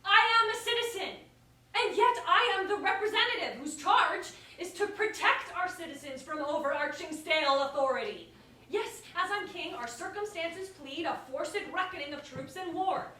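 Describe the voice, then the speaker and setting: A woman's voice: very high-pitched, animated, moderate pace, sharp tone, full character voice. A woman playing a proud, principled ruler in a staged verse comedy, declaiming her lines. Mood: defiant. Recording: distant mic in echoey room, hiss.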